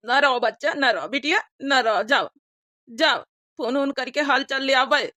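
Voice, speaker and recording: A woman's voice: high voice, unhurried, sharp tone, dry recording, studio quality. A woman voicing a poor, worried farmer father in a cartoon comedy, rustic and plain-spoken with an Awadhi accent. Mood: consoling. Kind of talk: cartoon village comedy dialogue.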